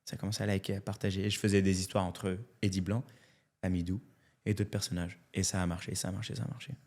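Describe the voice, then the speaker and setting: A French man in his thirties, a comedian, telling his life story in a casual interview in an animated, candid way. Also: deep voice, fast. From France. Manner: animated and candid, often adds "tu vois". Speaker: comedian guest.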